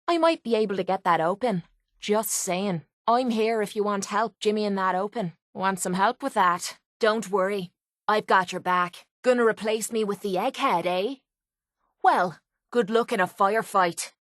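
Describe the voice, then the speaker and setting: Casting: actress as a tough young Irish woman for a game companion, blunt and sardonic, mid-pitched, closely recorded and dry. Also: no echo, lightly acted. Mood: casual, confident. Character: tough, cynical, foul-mouthed young Irish woman fighter. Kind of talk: video game companion voice acting. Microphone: close, dry studio microphone.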